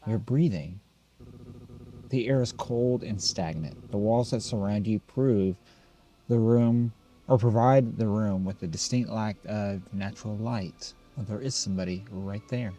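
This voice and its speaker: A man's voice: lowish voice, dark tone. A man doing let's play commentary, reading game text aloud and adding casual remarks. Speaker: gameplay video host.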